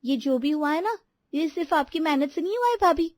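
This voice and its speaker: A woman's voice: sits high, animated, fairly quick, dry recording. A woman voicing a dutiful but defensive young sister-in-law in a household story, explaining herself indignantly.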